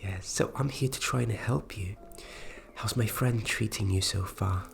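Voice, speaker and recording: A performer voicing a flustered, kindly fairy magic specialist in a fantasy ASMR roleplay, speaking softly and hesitantly, often dropping into a whisper. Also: mid-range voice, creaky, over music. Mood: curious, puzzled. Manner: hesitant, halting, repeats words, often whispering.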